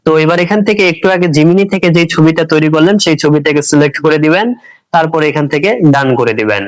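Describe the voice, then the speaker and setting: A man's voice: a little high, fast, no room sound, rough recording. A man hosting a tech tutorial, narrating step by step and addressing viewers directly as friends.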